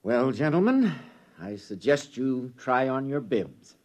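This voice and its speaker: A man's voice: mid-range voice, sing-song, slow pace, darkish tone. An actor playing a practical male expedition leader with a wry, blunt way of talking, in 1950s radio drama style.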